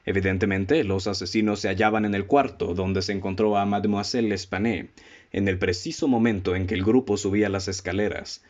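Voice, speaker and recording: A Mexican man acting in a student radio drama as a self-assured, methodical amateur detective who speaks in a formal, deliberate, theatrical way. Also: fairly low pitch, fairly even intonation, a little mellow, natural voice. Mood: confident, probing. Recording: dull, muffled lo-fi audio.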